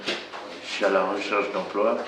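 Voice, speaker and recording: A man from French Guiana gives halting answers in an interview. He speaks slowly, in a deep voice. He is recorded from a distance in a roomy space, with a clear hiss behind him. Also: flat delivery. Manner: halting, unsure.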